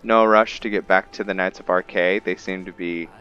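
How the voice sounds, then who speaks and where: Low male voice, casual game commentary, thinking aloud, dull lo-fi mic, music behind. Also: steady tone, normal speed, bright, forceful tone, clean tone. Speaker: gaming host.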